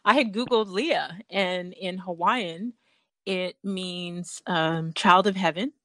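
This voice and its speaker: A woman's voice: fairly low pitch, takes it slowly, pushed and bright, no room sound. A woman hosting an interview, gushing and encouraging, laughing often. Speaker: show host.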